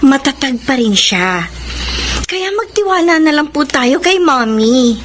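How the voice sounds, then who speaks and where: A woman's voice: sits high, very animated, a little slow, fairly bright tone, heavily acted. A woman with a Filipino accent acting a trusting, devoted young daughter in a radio drama. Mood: earnest, reassuring. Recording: clearly noisy radio drama track.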